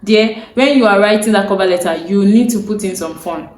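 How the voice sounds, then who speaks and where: A woman's voice: medium pitch, brisk, darkish tone. A young Nigerian woman, a native Yoruba speaker, giving earnest career advice to camera. She repeats her key points and checks in with her viewers. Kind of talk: instructional talk to camera. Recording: roomy echo, faint ambience.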